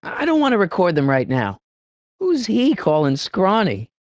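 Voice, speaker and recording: An actor playing an excitable, wisecracking American teenage boy from the eighties in a video game, with slangy, youthful delivery. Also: high voice, moderate pace, no echo, studio quality. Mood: determined, wry. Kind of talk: animated video game voice lines.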